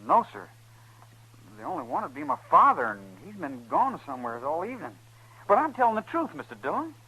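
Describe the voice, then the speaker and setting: A proud, desperate young man of the frontier. His voice is sharp and swings widely, earnest and respectful, in old-time radio drama style. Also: fairly high pitch, huge pitch swings, brisk, husky, heavily acted, rough recording. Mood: defensive. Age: young adult.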